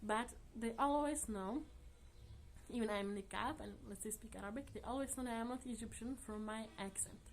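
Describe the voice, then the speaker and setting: A woman vlogger chatting casually to her viewers in earnest, non-native English, often laughing softly. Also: a little high, normal speed. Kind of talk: casual chat to camera.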